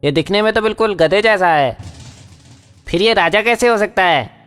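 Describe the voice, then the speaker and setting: A woman's voice: medium pitch, normal speed, long reverb tail, faint music behind, voice pushed down, lightly acted. A woman putting on the voice of a suspicious male dog in a cartoon.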